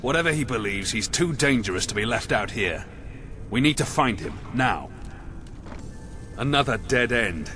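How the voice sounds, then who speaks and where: Video game royal escort, male actor: wry, watchful soldier, dry delivery, over a clear music bed. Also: medium pitch, lively intonation, normal speed, long pauses, lightly acted. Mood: grim, determined. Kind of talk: video game character dialogue.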